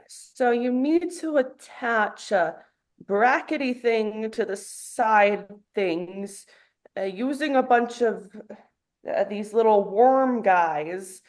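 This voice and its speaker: Slow-paced, cocky young guy, read by a woman. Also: on the high side, a little mellow. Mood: casual. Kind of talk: sitcom table read.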